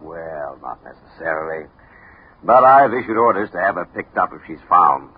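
A very husky, lowish voice on a dull old radio recording: a police captain. He is low-key and matter-of-fact, in vintage crime drama style. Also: lively intonation, normal speed. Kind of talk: 1950s radio drama acting.

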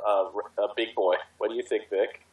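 A man's voice: on the low side, fairly even intonation, normal speed, phone-quality sound. A man talking casually with friends on a group voice call. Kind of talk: casual chat.

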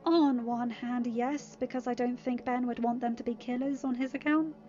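Woman as a thoughtful man, confiding, highish voice. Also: steady tone, highs cut off, faint music behind, close to an everyday voice. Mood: pensive. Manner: hushed, deliberate. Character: hesitant, thoughtful male friend, speaking confidentially.

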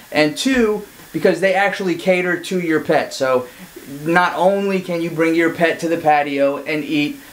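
Upbeat man presenting to camera. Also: a little high. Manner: chatty, animated hand gestures. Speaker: real estate agent and channel host. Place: indoor studio set with brick backdrop.

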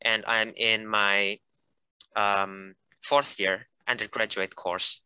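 A man in his twenties from Indonesia, an international student explaining things haltingly on a video call. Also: lowish voice, steady tone, bright, forceful tone, smooth, dry recording. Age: 20s. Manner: halting, searching for words in English.

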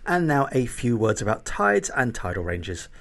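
A man reading a scripted explanation in a lively explainer tone. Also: mid-range voice, normal speed, vocal fry. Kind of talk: scripted narration.

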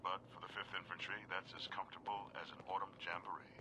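An actor playing an upbeat, folksy retro television newscaster in a video game. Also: male voice, sits low, little pitch movement, fast talker, background noise. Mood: breezy, upbeat. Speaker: TV news and weather anchor. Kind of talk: retro 1950s-style newscast.

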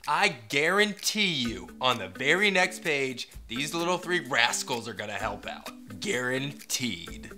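Bright, animated man bantering. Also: mid-range voice, measured pace, hardly any pauses, faint music behind. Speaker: co-host, comedian. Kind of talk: playful comic banter on a story show. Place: small room, indoors, seated on a sofa.

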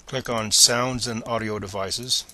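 A man's voice: mid-range voice, steady tone, a little slow, bright, forceful tone. A man presenting a step-by-step screencast tutorial in a plain, methodical, instructional manner.

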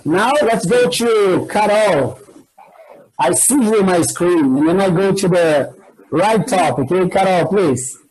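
A man's voice: high-pitched, expressive, unhurried, darkish tone, breathy edge, rough recording. A man with a Brazilian Portuguese accent hosting an online English class over a video call, encouraging and prompting his students. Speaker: English teacher and host.

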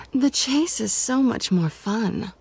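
A woman's voice: on the high side, gravelly, a little mellow, slight background noise, full character voice. An actress playing a sly, flirtatious cat burglar in her thirties, confident and teasing, in a game drama. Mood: playful, teasing. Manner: teasing, sultry, unhurried.

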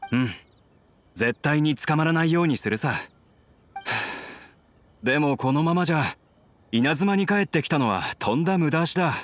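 A man playing a troubled, regretful, soft-spoken young fugitive in an anime game. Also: on the high side, animated, unhurried, long pauses.

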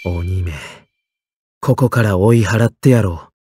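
A man voicing a calm, plainspoken sword warrior in an anime game, frank and steady. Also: fairly low pitch, slow pace, husky, no echo, clean studio sound. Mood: calm, resolute.

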